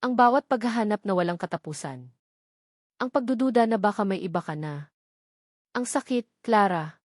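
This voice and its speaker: A woman's voice: mid-range voice, animated, moderate pace, no room sound, lightly acted. A wounded, forgiving husband in a romance audio story, voiced by a woman in her own mid-range voice. He speaks earnestly and with sorrow, in a very dry recording. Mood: hurt, sorrowful.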